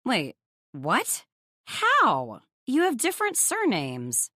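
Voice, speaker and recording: A woman's voice: a little high, wildly swooping pitch, slow, pushed and bright, no room sound, studio quality, voice pushed up, full character voice. A woman voicing a blunt, nosy young female best friend in an audiobook, gushing and teasing in exclamations. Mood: astonished. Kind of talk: audiobook character dialogue.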